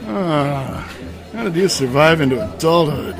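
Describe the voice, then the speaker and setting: An actor playing a blunt, sarcastic barbarian adventurer with a casual manner in a comic fantasy audio drama. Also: male voice, fairly high pitch, lively intonation, measured pace. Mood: dismissive. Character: gruff but civilized, wisecracking male barbarian adventurer. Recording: music playing behind the voice.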